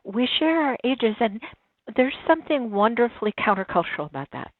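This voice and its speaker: A woman's voice: a little high, sing-song, a little fast, no echo. A woman in her sixties, a guest in an interview, talking reflectively and telling stories with frequent restarts, over a tinny line. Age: 60s. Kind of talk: casual interview conversation. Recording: tinny phone-line sound, dry.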